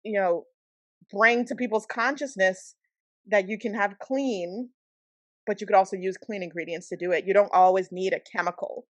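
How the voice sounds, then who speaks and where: A woman from the Bahamas hosting a podcast, chatting in a lively, affirming way with frequent laughs. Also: mid-range voice, moderate pace, dry recording. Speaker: podcast host. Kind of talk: casual podcast interview.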